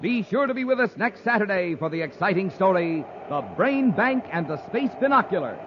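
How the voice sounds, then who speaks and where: A man works as a fifties radio announcer, reading with dramatic salesmanship. His voice is high and swings emphatically. The recording is muffled and old. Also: animated, fairly quick, background noise. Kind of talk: 1950s radio announcing, scripted.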